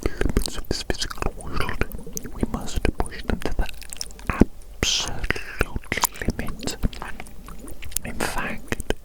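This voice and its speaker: An eccentric mad scientist played by a man whispers close to the microphone in an ASMR roleplay. His voice is breathy and unvoiced, never rising to full speech. He mutters theatrically, obsessive and reckless, turning phrases over again with deliberate relish. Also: lightly acted, poor audio. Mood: grandiose, zealous.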